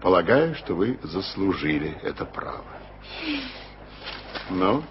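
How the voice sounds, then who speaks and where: An actor playing a powerful statesman prince who speaks in a measured, ironic and commanding way in an old radio play. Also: deep voice, very animated, a loud crowd, heavily acted. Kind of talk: 1980s radio play acting. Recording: hissy, dull old radio recording.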